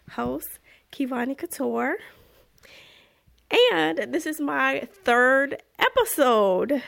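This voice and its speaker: A young woman hosts her podcast in an easygoing, chatty way and slips into animated little exclamations. Her voice sits a touch high with a gravelly fry, and she still sounds a little congested. She takes her time, talking slowly. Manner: chatty, animated, self-deprecating, exclaims "woo, child". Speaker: podcast host. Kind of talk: casual podcast chat and story reading.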